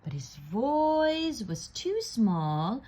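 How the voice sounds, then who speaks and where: A woman reading a children's picture book aloud in an expressive, deliberate way, drawing out words for effect. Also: a little high. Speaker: storybook reader.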